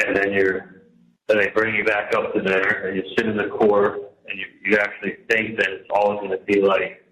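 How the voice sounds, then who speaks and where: Low-voiced man on echoey jail phone. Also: pushed and bright. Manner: halting and repetitive, venting about his situation. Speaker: prisoner on a jail phone call. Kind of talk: casual, rambling personal phone conversation. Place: jail, on a telephone. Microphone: telephone handset. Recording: echoey jail telephone call.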